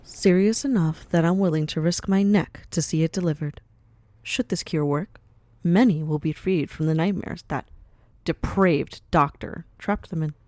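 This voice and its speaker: Commanding mafia matriarch played by a woman: lowish voice, cultured, poised and formal, sly warmth, close dry sound. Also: normal speed, dry recording, close to an everyday voice. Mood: earnest, businesslike. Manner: poised, grandly formal, uses endearments like dearie. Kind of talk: scripted audio roleplay acting.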